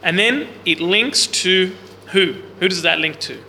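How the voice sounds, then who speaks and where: Loud, bright-voiced man teaching in a reverberant hall. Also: high voice, lively intonation, a little slow, gravelly. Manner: questions the group, casual and explanatory; loud voice, speaks without a mic. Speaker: Bible study teacher. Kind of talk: interactive Bible class teaching. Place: large room with a group, no microphone. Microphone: no mic on the speaker, recorder in the room. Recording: long hall reverb, faint background hiss.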